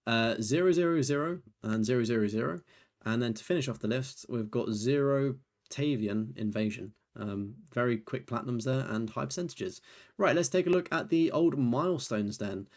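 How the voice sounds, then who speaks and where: A man's voice: mid-range voice, normal speed, a little mellow. A young man, a gaming YouTuber, chatting casually through a trophy list while tired and losing his voice, rambling and self-deprecating. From United Kingdom. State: tired, voice nearly gone.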